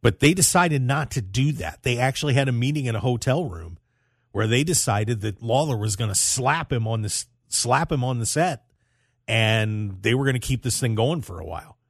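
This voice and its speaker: An American man hosting a sports talk radio show, telling stories in an animated way with emphatic repetition. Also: medium pitch, moderate pace, breathy edge, no room sound, studio quality.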